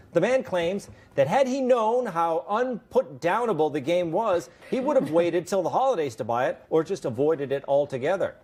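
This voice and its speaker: A man hosting a late-night panel show, bantering and teasing his guests with quick jokes. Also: fairly high pitch, darkish tone, husky. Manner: jokey, quick teasing asides. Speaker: talk show host.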